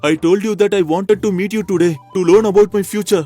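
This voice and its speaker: An earnest young traveler, voiced by a man, pleading and full of questions, in a somewhat high voice. His lines sit over faint background music in a cartoon story. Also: steady tone, fast, dark tone. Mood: alarmed. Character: anxious young male traveler, curious and pleading. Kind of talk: cartoon voice acting.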